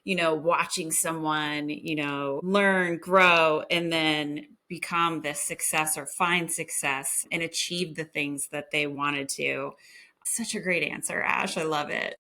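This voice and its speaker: A woman's voice: low-pitched, fairly even intonation, slow, bright, forceful tone. A woman hosting an interview over a video call, upbeat and enthusiastic as she asks questions. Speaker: host, community leader.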